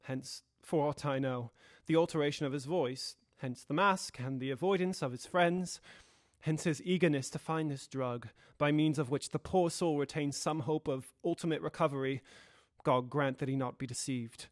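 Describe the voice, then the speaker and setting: Guy acting as a rational lawyer gentleman, slightly high male voice, formal and measured, dry sound, radio play. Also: dry recording, lightly acted. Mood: reasoning, grave. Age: young adult. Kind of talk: audio drama acting.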